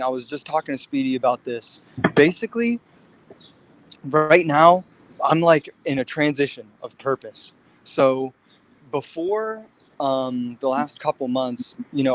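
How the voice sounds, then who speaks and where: A man's voice: medium pitch, low-quality recording. A man in his late twenties talking casually and earnestly in a livestream conversation. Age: late 20s. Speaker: fitness guest.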